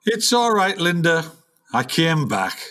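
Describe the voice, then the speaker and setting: A man's voice: fairly high pitch, very animated, takes it slowly, fairly bright tone, exaggerated voice. A man playing an aging, grumpy, melodramatic jazz musician with a North of England accent in a comic radio play. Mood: weary, complaining. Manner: griping, melodramatic, indignant.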